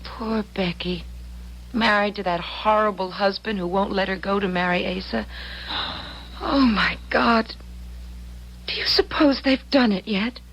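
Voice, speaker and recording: An actress playing an anxious, conscience-stricken young wife in an old-time radio drama, speaking with earnest, emotional emphasis. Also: female voice, mid-range voice, measured pace, long pauses, fairly bright tone, husky, rough recording. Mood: fearful.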